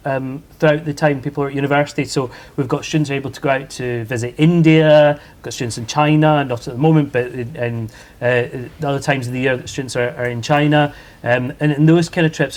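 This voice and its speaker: A man from university staff answering student questions on a panel, chatty and hesitant, with many fillers and repeated words. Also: mid-range voice.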